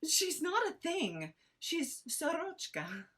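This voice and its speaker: A woman playing a ditzy, doting podcaster in a comedy read-through, hesitant, apologetic and cutesy, with a husky voice. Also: very high voice, very animated, slow pace, pushed and bright, heavily acted. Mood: defensive, sheepish. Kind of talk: informal script read-through of audio comedy.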